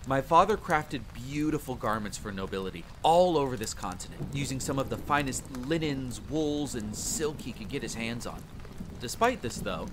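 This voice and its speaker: Man acting an eager, animated monster hunter. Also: medium pitch, background noise, close to an everyday voice. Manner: playful, dramatic, self-deprecating, hesitant. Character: eager, sentimental, chatty young male monster hunter. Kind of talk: audio roleplay acting. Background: faint rain, campfire ambience.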